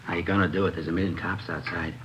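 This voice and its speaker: An actor playing a criminal's wary jailed brother in a 1950s radio drama, subdued and doubtful. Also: male voice, low voice, fairly even intonation, fast talker, close to an everyday voice. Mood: wary, disbelieving. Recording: noisy old 1950s radio recording.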